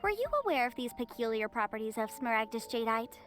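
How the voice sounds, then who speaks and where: A shrewd young lawyer in a video game. Her high voice is formal and articulate, arguing with cool assertiveness. Faint music runs behind her. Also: big pitch swings, fast talker, a bit bright. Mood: coolly threatening. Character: confident, shrewd young female legal adviser.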